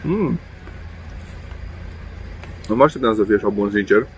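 A man's voice: on the low side, low-quality recording. A man in his thirties casually reviewing food outdoors, chatting with frequent fillers while he eats. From Romania. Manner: relaxed, chatty, many fillers while eating. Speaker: food vlogger. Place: outdoor table beside a main road. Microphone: camera on table in front. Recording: faint road noise outdoors. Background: passing traffic and outdoor ambience.

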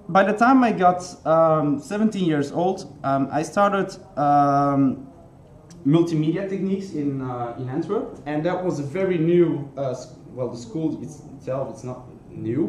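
Young Belgian-accented male speaker, mellow and slightly high, earnest, halting with ums, in a roomy hall with faint hiss. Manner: many ums and restarts, earnest. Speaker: conference speaker. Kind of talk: conference talk. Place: conference hall. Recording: echoey hall with faint noise.

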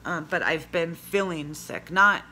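Woman, 40s, hoarse, chatty home vlog, phone mic, low-ish voice, bright edge, faint room hum. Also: unhurried, poor audio. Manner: chatty, rambling, reads labels aloud. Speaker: vlogger. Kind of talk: casual product review vlog. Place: small indoor room at home. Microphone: phone camera at arm's length.

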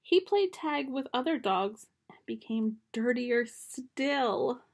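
A young woman reading a children's picture book aloud at home in a gentle storytime manner, recorded on a close webcam in a small bedroom. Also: on the high side, animated, slow pace.

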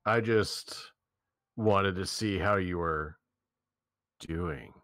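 A man's voice: sits low, drawn-out words, a bit bright, exaggerated voice. A man reading the part of an earnest, worried young man confronting a friend in a visual novel. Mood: concerned. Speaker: amateur reader of a visual novel's lead. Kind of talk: staged reading with joking asides.

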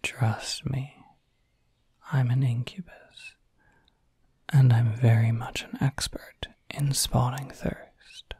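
Man playing a slow, breathy whispering incubus. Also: mid-range voice, flat delivery, warm tone, no room sound, heavily acted. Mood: sly, knowing. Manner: unhurried, sly, intimate. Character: suave, teasing male incubus demon, talkative and cryptic. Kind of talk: whispered ASMR roleplay. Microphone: close microphone.

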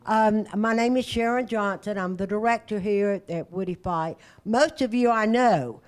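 A woman's voice: medium pitch, normal speed, darkish tone. A middle-aged woman, director of a senior center, hosting a birthday party in an indoor community room on a handheld mic. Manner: cheerful, chatty, holds a handheld mic.

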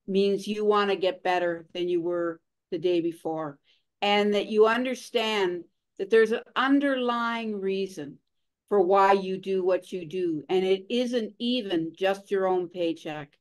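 Female guest, middle-aged, mid-range voice, measured and earnest, video-call sound. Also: medium pitch. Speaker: guest, consultant. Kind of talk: interview answers.

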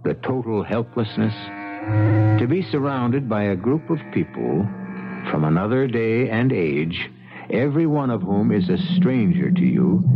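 A man hosting a radio drama with dramatic, deliberate, scripted suspense narration. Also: lowish voice. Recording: dull 1970s radio recording with music.

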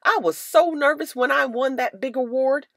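A woman's voice: high-pitched, moderate pace, a bit bright, no room sound, clean studio sound, pitched above the performer's own voice. A woman voicing proud, sassy lady hat customers in a storybook, with an emphatic delivery. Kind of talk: storybook character voice.

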